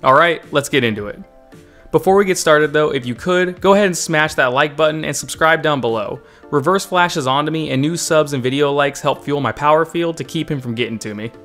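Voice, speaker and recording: Higher-pitched man narrating a video update. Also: brisk, a bit bright. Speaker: host and game developer. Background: music.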